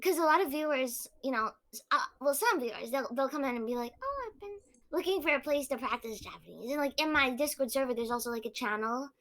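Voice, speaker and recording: A woman's voice: high voice, expressive, quick pace, a bit bright. A young woman chatting as a podcast guest, giggling often between phrases. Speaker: guest streamer. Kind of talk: casual podcast chat.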